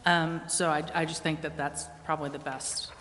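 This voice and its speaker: Very low-voiced woman, echoey hall. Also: level tone, normal speed, fairly bright tone. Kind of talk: giving an opinion in a public meeting. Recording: echoey hall with long reverb, faint noise.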